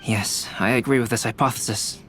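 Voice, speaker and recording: A man's voice: medium pitch, steady tone, a little fast, over loud music, lightly acted. A man playing a composed, analytical investigator in a video game, speaking formally and matter-of-factly. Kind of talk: video game dialogue acting.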